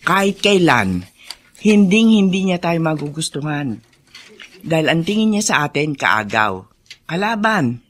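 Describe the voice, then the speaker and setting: A woman's voice: low voice, sing-song, a little slow, long pauses, exaggerated voice. A woman playing an older, wary and protective hacienda servant in a Filipino radio drama, speaking emphatically. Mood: wary, warning. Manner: emphatic, cautioning.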